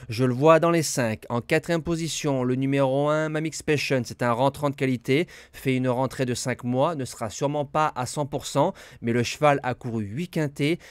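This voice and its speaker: A man giving horse racing tips, slightly hoarse from a cold, listing his picks in a brisk, matter-of-fact way. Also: medium pitch, a little mellow, no room sound. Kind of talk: betting tips monologue.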